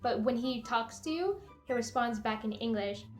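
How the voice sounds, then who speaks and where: A young woman from Canada, a native Somali speaker, chatting casually as a vlog host in an animated, opinionated way. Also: on the high side, moderate pace. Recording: light music bed behind voice. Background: background music.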